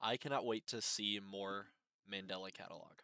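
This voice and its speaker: A man hosting a reaction video with casual unscripted commentary, halting and repeating his phrases. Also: low voice, steady tone, vocal fry, pushed and bright, clear, resonant tone, dry recording.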